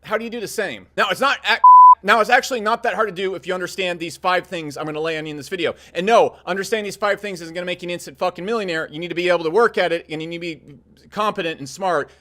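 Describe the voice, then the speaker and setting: Fast-talking blunt man in his thirties. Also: sits high, pushed and bright. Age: 30s. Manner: blunt, profane, punchy. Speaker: entrepreneur giving business advice. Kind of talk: casual direct-to-camera advice talk.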